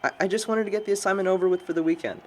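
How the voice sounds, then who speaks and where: A young man playing a bookish, low-key friend in an indie film, talking in a hesitant, understated way. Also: steady tone, racing, slight background noise, natural voice. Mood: bashful, bantering. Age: young adult. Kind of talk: naturalistic amateur film dialogue.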